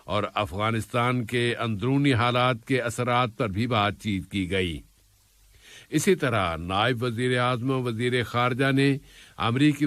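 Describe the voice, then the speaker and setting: Guy reading the news, formal and measured, mid pitch, really dry sound. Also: fairly bright tone, no room sound. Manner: formal, measured delivery in literary Urdu register. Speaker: news reader. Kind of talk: scripted news bulletin narration.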